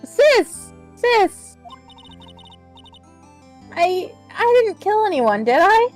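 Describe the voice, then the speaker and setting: A woman's voice: very high-pitched, very animated, extremely slow, soft background music, full character voice. A woman voicing an anxious, sweet, girlish younger sister in a comic fan dub. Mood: tearful disbelief.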